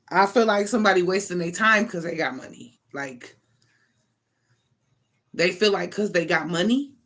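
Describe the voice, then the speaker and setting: A woman's voice: on the low side, fairly bright tone. Emphatic American woman reading tarot. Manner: emphatic, slangy, conversational, swears casually. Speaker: tarot reader, online channel host. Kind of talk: informal improvised tarot reading.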